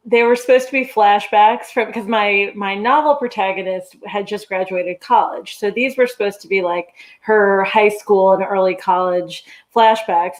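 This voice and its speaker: A woman from New York chatting casually as a guest writer, informal and self-amused. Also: medium pitch. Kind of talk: casual interview chat.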